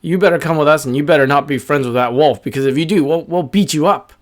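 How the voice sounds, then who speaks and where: Guy doing a pushy skeptical bird bully from a kids story, scolding tone, medium pitch. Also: expressive, moderate pace, lightly acted. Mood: angry, threatening. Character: bossy, skeptical gang of small male birds, threatening.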